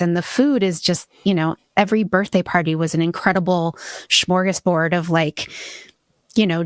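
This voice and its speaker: A woman's voice: on the low side, dry recording, clean studio sound. A woman in her forties from Hawaii, a podcast guest telling her story in a candid, reflective way with frequent restarts. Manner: reflective, many restarts and "you know".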